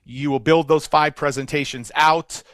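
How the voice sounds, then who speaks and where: A man's voice: on the high side, steady tone, normal speed, fairly bright tone, no echo. A man hosting a webinar, upbeat and salesy as he runs through the details of an offer. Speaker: webinar host. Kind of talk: sales pitch.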